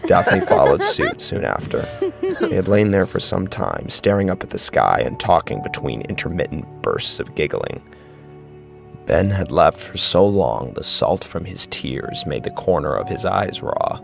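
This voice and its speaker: Male horror narrator: low, gravelly, dramatic and animated, faint music bed. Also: a little slow.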